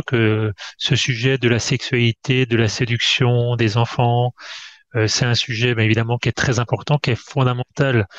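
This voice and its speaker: A male host introduces his guest over a video call, often pausing and restarting as he searches for words. The audio is dull and muffled, and the sound is close and dry with no room echo. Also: mid-range voice. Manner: halting, full of hesitations and restarts. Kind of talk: conversational interview.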